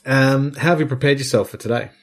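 A man hosting a mock-serious comedy interview, halting and playful. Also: medium pitch. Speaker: host interviewer.

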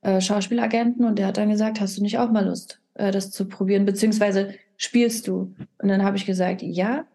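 A woman's voice: medium pitch, steady tone, fairly quick, few pauses, dark tone. A young woman talking candidly as a podcast guest, rambling and dropping English phrases into her speech. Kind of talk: casual podcast conversation.

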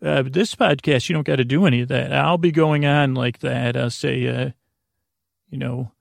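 Gravelly, rambling American man, bedtime podcast host. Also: medium pitch, steady tone, dry recording, clean studio sound. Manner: meandering, halting, stumbles and trails off mid-thought. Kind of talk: rambling bedtime monologue to help listeners sleep.

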